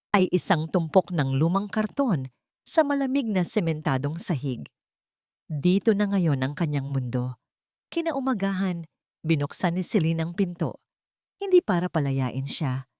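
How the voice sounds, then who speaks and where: A woman's voice: low-pitched, a little mellow, dry recording, studio quality. A woman with a Filipino accent reading scripted, dramatic story narration.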